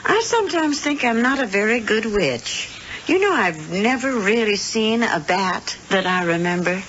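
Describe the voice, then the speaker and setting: A homely, motherly old American wife, played by a woman, talks fondly with swooping, animated intonation. She sounds like vintage radio drama, on a dull recording with faint hiss. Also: medium pitch, normal speed, close to an everyday voice. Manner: homey, fond, chatty. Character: sweet, homely elderly wife, a motherly female witch.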